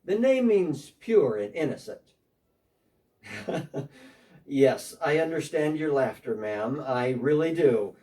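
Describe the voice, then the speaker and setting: A man's voice: on the high side, animated, slow, long pauses, mellow, distant mic. A man playing a defensive, talkative tax collector in a church dramatic reading, speaking one side of a phone call.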